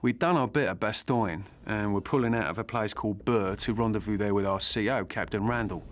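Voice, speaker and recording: A man's voice: lowish voice, fairly quick, close to an everyday voice. An actor playing a young British paratrooper with a British accent, telling his war story with chatty, wry humour in a game cutscene. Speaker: paratrooper narrator. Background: war score music.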